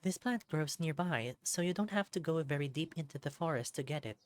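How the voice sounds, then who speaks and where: A narrator voicing a gentle, caring mother fox healer in a visual novel, soft, tender and serious. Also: medium pitch, expressive, brisk, close to an everyday voice.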